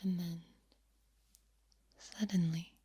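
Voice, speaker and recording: Breathy, whispering woman, extremely slow. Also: on the low side, flat delivery, dark tone. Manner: deliberate, drawn-out delivery with repeated words. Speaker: ASMR host. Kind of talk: soft-spoken ASMR, mostly whispered. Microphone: close, sensitive microphone.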